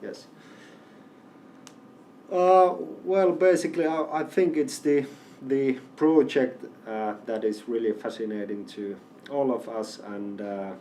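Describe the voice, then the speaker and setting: Guy at an event panel, slow and halting with lots of uhs, mic a bit far off. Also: mid-range voice, steady tone, dark tone, slight background noise. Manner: halting, many fillers and restarts. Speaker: company representative. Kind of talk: panel interview. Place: event venue. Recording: distant mic with faint ambience.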